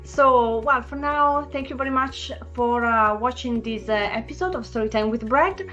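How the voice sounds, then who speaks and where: A woman hosting a podcast in a conversational, agreeable way, speaking haltingly as she puts her thoughts together. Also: on the high side, bright, forceful tone, muffled recording, soft background music. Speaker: podcast host.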